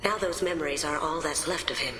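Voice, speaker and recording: An actress playing a playful, confident young master thief in a video game, coy and wry. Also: female voice, low-pitched, fairly quick, sharp tone. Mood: wistful, serious. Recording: roomy reverberant sound, clear background noise.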